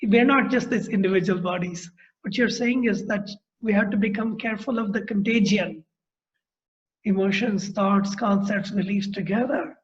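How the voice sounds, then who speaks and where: A middle-aged man with an Indian accent, interviewing a guest in a thoughtful, explanatory way over a video call from his home. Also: high-pitched. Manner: thoughtful, explanatory, speaks in long measured phrases. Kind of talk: reflective conversation. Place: room at home. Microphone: laptop mic on a video call. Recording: dull lo-fi video call audio.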